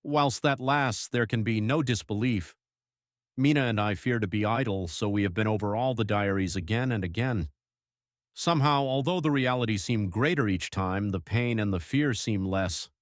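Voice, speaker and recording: A man playing a troubled, devoted young husband reading his journal in measured literary diction for an audiobook. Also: lowish voice, no room sound, studio quality, natural voice. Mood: anguished. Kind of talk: dramatised audiobook narration.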